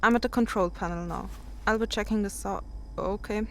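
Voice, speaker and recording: A woman playing a tense space explorer in an audio drama, giving a halting spoken progress report. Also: mid-range voice, fairly even intonation, fairly bright tone, natural voice. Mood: uneasy, focused. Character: tense female space explorer searching a dead station.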